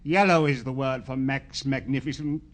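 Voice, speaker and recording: An actor playing a gloating, mannered killer with florid, mock-polite speech in a 1950s radio crime drama, on an old broadcast recording. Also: male voice, mid-range voice, moderate pace, lightly acted. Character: gloating, mannered male killer with sadistic, unstable temper.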